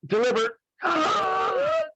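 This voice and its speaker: A man's voice: extremely high pitch, huge pitch swings, drawn-out words, pushed and bright, exaggerated voice. A man playing a dying man who gasps weakly and desperately in broken phrases in a radio drama. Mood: failing, urgent. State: gasping, very weak. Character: dying man gasping desperate last words.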